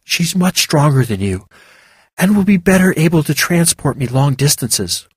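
A kind young woman healer speaks gently and patiently despite her weakness, read by a storybook narrator. Her voice moves lively in pitch with a soft huskiness. The sound is dry and close. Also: normal speed, breathy edge, no room sound. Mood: gentle.

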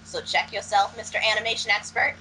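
A young woman co-hosting a casual film discussion over a video call from home, quick, wry and teasing as she argues her opinions. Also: mid-range voice, steady tone, bright, forceful tone, a little hiss or hum, poor audio. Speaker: podcast co-host. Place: home room, indoors.

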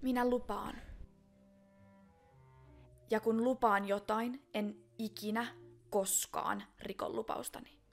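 A woman voicing a wary, determined young sheltered fairy-tale princess in an animated film fan dub, speaking emphatically and deliberately. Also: on the high side, gravelly, faint music behind.

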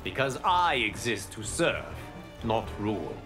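An actor playing a benevolent god and mentor in a fighting game, solemn, measured and formal. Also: male voice, lowish voice, sing-song. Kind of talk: fighting game character dialogue. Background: game music.

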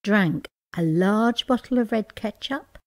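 A woman reading a children's story aloud in an expressive, dramatic and playful way. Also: mid-range voice, slow. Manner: expressive, counts out words playfully. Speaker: picture-book reader.

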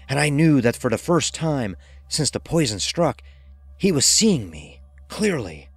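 Slow, dramatic male horror narrator. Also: mid-range voice, husky, dry recording.

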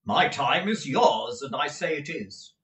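A man's voice: a little high, animated, a little slow, fairly bright tone, echoey room, distant mic, voice pushed up. A man reading aloud and voicing a cold, domineering older moneylender who speaks curtly and dryly. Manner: curt, dry, peremptory.

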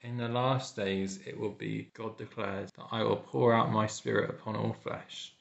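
A man's voice: fairly low pitch, fairly even intonation, moderate pace, vocal fry, a bit bright. A young man reading scripture aloud, on a dull lo-fi recording made in a plain room. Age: young adult.

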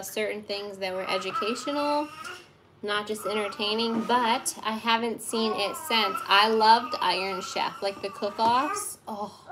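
A woman's voice: mid-range voice, fairly bright tone, slight background noise. Chatty thirtysomething woman baking live at home, rambly and giggly, phone mic on the kitchen table. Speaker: livestream baking host. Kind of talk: casual chat while baking. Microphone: phone camera on the table.

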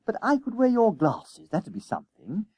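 An eager young schoolboy, acted by a man in a storybook reading. The voice jumps high and swings about excitably. He is bold and bossy, insistent in his old-fashioned schoolboy slang. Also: moderate pace, slightly rough, no room sound, exaggerated voice. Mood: excited scheming.

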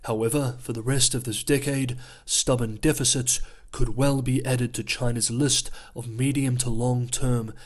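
A man hosting his own news analysis channel, reading a scripted voice-over in a measured, explanatory way, his voice going hoarse. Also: mid-range voice, fairly even intonation. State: losing his voice.

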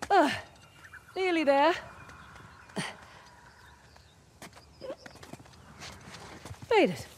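An actress with an Australian accent playing a wry, easygoing treasure hunter in a video game, talking in a dry, colloquial way. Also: female voice, extremely high pitch, lively intonation, unhurried, a little hiss or hum. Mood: breezy, strained. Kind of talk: naturalistic video game acting. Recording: music behind the voice.

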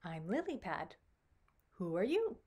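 A woman's voice: medium pitch, wildly swooping pitch, unhurried, mellow, slightly rough, full character voice. Lady doing a small girl frog in a picture book, friendly, nosy, straight to the point. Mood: matter-of-fact. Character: little green female frog, friendly, matter-of-fact.

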